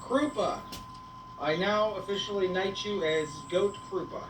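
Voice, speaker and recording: A woman's voice: medium pitch, measured pace. A woman hosting a casual livestream on a goat farm, playfully teasing and chatting to viewers and animals. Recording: echoey, noisy farm livestream, distant mic.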